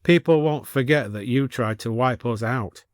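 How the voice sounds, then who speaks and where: A man's voice: medium pitch, normal speed, dry recording, clean studio sound, lightly acted. Wry antique shop owner, read by a man. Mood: wry, gruff.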